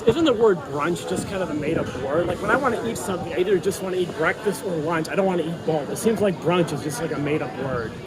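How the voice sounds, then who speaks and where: A man chatting casually to a phone camera outdoors, his voice going hoarse, often repeating himself for emphasis. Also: on the high side, fast talker, few pauses, crowd noise. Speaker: vlogger at a theme park. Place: outdoors in an amusement park. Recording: outdoor phone recording under loud music.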